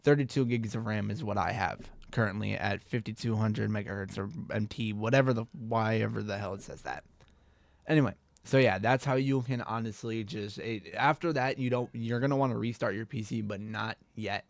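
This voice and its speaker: A man's voice: fairly low pitch, steady tone, normal speed, creaky, dry recording. A young man with a stuffy, congested voice, giving a rambling, laid-back computer tutorial and laughing off his own slips. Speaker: tech tutorial creator. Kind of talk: casual screen-recorded tutorial.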